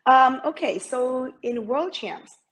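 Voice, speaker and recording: A woman's voice: high-pitched, slow pace, fairly bright tone. A middle-aged woman from Malaysia, a masters swimmer talking as an interview guest, hesitant with many fillers and restarts. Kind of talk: interview conversation.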